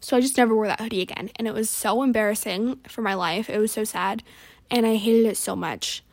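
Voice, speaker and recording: A woman's voice: on the high side, fairly quick, creaky. A young woman in her teens rambling through casual stories in a chatty, slangy, easily exasperated way. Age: teenager. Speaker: podcast host.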